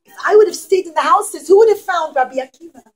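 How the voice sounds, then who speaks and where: A woman's voice: very high-pitched, animated, fast talker, faint music behind. A woman voicing a humble, devout rabbi in a children's story, exclaiming fervently. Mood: relieved, thankful. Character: pious rabbi, humble, devout man of faith.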